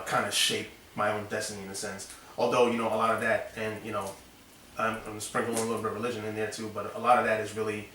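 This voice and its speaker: A man talking casually as an interview guest, rambling with lots of fillers. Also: fairly low pitch. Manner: relaxed, rambling, many fillers. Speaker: interview guest, design studio owner. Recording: echoey room, distant mic, faint noise.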